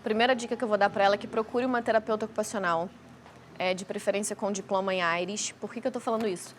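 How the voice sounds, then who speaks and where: A woman's voice: mid-range voice, steady tone, fast talker, a little hiss or hum. A woman with a Rio de Janeiro accent, speaking as a guest expert and explaining things on a talk show. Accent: Carioca (Rio de Janeiro) Brazilian Portuguese. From Rio de Janeiro, Brazil. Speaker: guest child feeding specialist.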